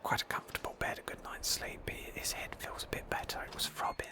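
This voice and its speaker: A woman narrating a roleplay game playthrough in a breathy ASMR whisper, slowly and with pauses.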